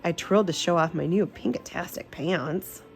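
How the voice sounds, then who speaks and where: A woman reading a children's storybook aloud in an expressive, animated, emphatic narration. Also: fairly low pitch, normal speed.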